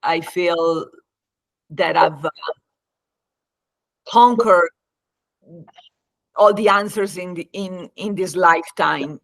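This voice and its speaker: Slow-speaking woman with an Italian accent. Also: on the low side, gravelly, no room sound, low-quality recording. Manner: halting, pausing often mid-thought. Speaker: interview guest, life coach and psychologist. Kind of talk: conversational interview.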